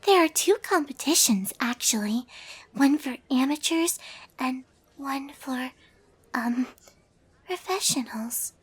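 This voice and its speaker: A woman voicing a shy, gentle young female cartoon pony with a soft, breathy, hesitant voice in a story reading. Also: high voice, lively intonation, voice pushed up. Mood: shy, informative. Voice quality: soft, breathy, near whisper. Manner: timid, hesitant, halting.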